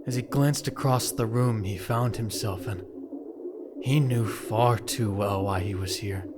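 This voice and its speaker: Male narrator, lower-pitched, dramatic scripted storytelling, with clear background noise and ambience. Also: a little slow.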